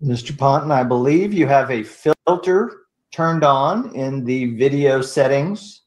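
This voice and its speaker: An older man speaking as a judge in an online hearing, giving polite, hesitant, deadpan instructions. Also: medium pitch, unhurried. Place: online video call.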